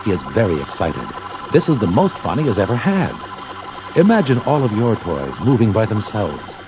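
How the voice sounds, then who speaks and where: Deep-voiced man narrating a dramatised story. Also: wildly swooping pitch, moderate pace, noisy background. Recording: old story record with backing sound.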